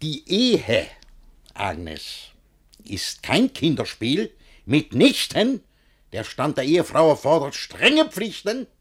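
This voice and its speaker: An actor playing a domineering, scheming, jealous older guardian in a verse comedy radio play, speaking in a theatrical, lecturing way with a husky voice. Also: male voice, fairly high pitch, wildly swooping pitch, slow, fairly bright tone, full character voice. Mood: smug, lecturing.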